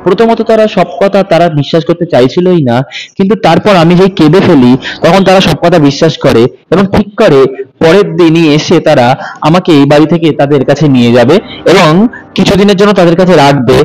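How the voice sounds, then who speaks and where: High-voiced man telling a horror story. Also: darkish tone, low-quality recording. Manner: earnest, with small self-corrections. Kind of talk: first-person horror storytelling.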